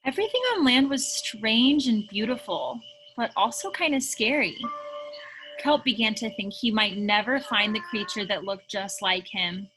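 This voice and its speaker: A woman reading a children's picture book aloud in an expressive storytelling style. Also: a little high, moderate pace, rough recording. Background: music behind the voice.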